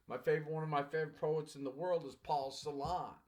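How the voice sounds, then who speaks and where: A male college English teacher with a fairly high voice lectures his class. He talks in a loose, conversational way, repeats his points and turns to address the class often. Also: steady tone, fairly quick, a little mellow.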